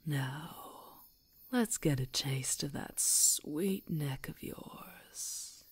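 A woman playing a menacing, playful werewolf hunter who gloats over prey in a breathy, husky ASMR whisper, with a slow teasing delivery. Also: low voice. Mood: predatory, savoring.

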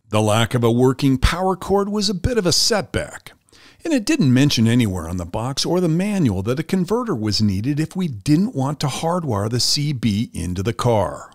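A man's voice: medium pitch, no echo, clean studio sound. A man hosting a product review channel, reading a scripted review as a voice-over.

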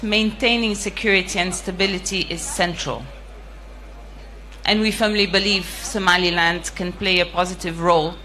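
A middle-aged woman delivering a formal ceremonial speech as a diplomat, speaking in measured phrases with pauses, into a handheld microphone at an outdoor ceremony. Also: fairly low pitch, sharp tone, rough recording. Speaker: EU diplomat giving an official address. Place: outdoor ceremony with a large seated audience. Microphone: handheld microphone held close.

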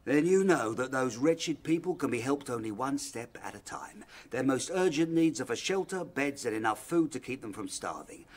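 An actor with an English accent playing a proud, irascible, ailing older landlord in radio drama, brusque and declamatory. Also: male voice, medium pitch, animated, normal speed, hardly any pauses, lightly acted. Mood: self-righteous. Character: proud, irascible ailing father, self-righteous slum landlord. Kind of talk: British radio drama acting.

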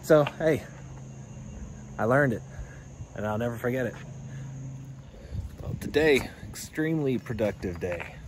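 A man's voice: mid-range voice, unhurried, pauses often. Chatty young man vlogging outdoors. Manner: relaxed, chatty, self-deprecating. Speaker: homestead vlogger. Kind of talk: casual vlog narration. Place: outdoors on a rural homestead yard. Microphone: phone held at arm's length. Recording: outdoor phone audio with insect noise. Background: crickets and insects.

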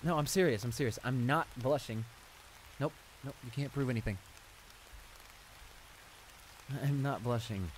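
A man's voice: mid-range voice, brisk, close to an everyday voice. A man playing a shy, flustered school bully in an audio roleplay, stammering and hesitant as he apologizes and gets embarrassed. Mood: flustered denial. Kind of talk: intimate improvised audio roleplay acting. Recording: rain noise behind the voice. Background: steady rain.

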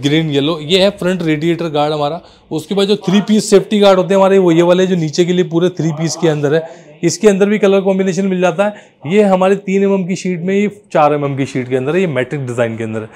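A man in his thirties with a slightly high voice. He presents products in an informal, explanatory way, speaking directly to viewers like a shop host on a vlog. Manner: explanatory, addresses viewers as brothers. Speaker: auto accessories shop presenter. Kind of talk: informal product showcase to camera. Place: small indoor car accessories showroom.

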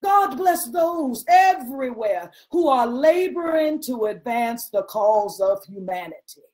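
A woman giving a solemn, impassioned and deliberate dramatic reading of historical literature over a video call. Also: on the high side, expressive, darkish tone.

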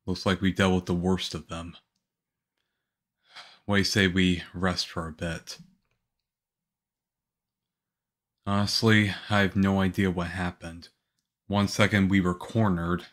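A man playing a calm, reassuring young government agent in an ASMR roleplay, speaking softly. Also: sits low. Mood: relieved, wry. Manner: soft-spoken, unhurried, reassuring.

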